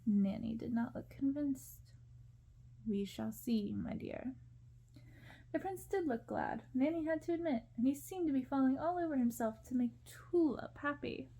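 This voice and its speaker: A woman reading a story aloud as ASMR narration, in a soft, hushed storytelling manner. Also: high voice, animated, moderate pace, few pauses. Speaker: ASMR reader.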